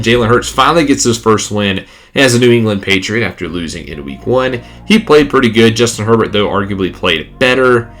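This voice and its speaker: A stuffy, congested man giving excitable play-by-play commentary over a video game, rising on big plays, then recapping the week as a gaming YouTuber. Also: lowish voice.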